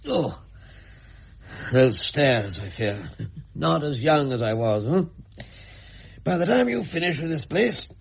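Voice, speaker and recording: A man's voice: on the high side, very animated, takes it slowly, creaky, long pauses, breathy edge, exaggerated voice. A man playing a genial, teasing elderly father and grandfather in a radio mystery drama, courtly and doting. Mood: weary, amused. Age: older.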